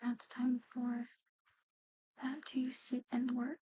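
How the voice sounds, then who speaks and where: A woman performing a whispered ASMR medical roleplay, explaining procedures softly in an unhurried, breathy voice on a slightly muffled recording. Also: a little high, completely flat delivery, background noise. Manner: hushed, unhurried, gently explaining.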